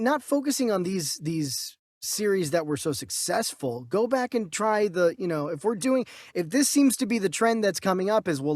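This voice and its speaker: High-voiced man talking movie news. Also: dry recording, clean studio sound. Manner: emphatic, repeats himself for effect. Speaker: movie news podcast co-host. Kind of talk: casual opinionated discussion.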